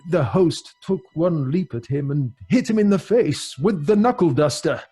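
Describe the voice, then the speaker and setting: An actor with a British accent playing a middle-aged father who tells grim tales in a measured, genial way for an old-fashioned radio drama. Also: fairly high pitch, lively intonation, dark tone, slightly rough, soft background music. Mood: grave storytelling. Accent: British English.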